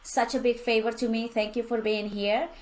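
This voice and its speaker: A woman's voice: fairly high pitch, flat delivery, moderate pace. A woman hosting a podcast interview, effusive and gushing, with frequent laughs. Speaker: podcast host. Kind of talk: conversational interview.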